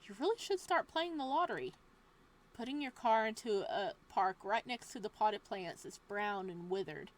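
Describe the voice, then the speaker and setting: A woman's voice: mid-range voice, animated, moderate pace. A woman reading a horror visual novel aloud, breaking off for playful, joking asides. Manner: playful asides between narration. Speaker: game streamer.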